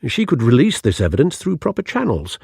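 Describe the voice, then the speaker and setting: A man voicing a fatherly older butler in a video game, formal, measured and gently reproving. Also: mid-range voice, wildly swooping pitch, creaky, slightly rough, dry recording, studio quality, full character voice. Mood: concerned.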